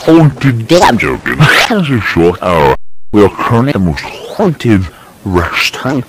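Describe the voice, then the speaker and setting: A young man chatting informally and excitedly in a casual exploration vlog, in a blokey, exclamatory way. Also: mid-range voice, slow, creaky, very noisy. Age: young adult. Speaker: vlogger exploring an abandoned site. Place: abandoned buildings and grounds at night.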